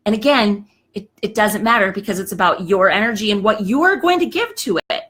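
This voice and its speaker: A woman in her thirties or forties hosting a live show, giving a chatty, emphatic motivational talk and often saying "okay", her voice a little hoarse. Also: mid-range voice, lively intonation, fairly quick, a bit bright. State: slightly hoarse, clearing throat.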